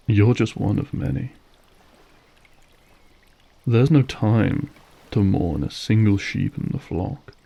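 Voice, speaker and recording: A man's voice: on the low side, gravelly, dark tone, slightly rough, muffled recording, full character voice. A male actor playing a suave, menacing, manipulative vampire lord in an audio roleplay, silky, mocking and formal as he savors each phrase. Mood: mock pity, then cold persuasion. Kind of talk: scripted audio roleplay acting.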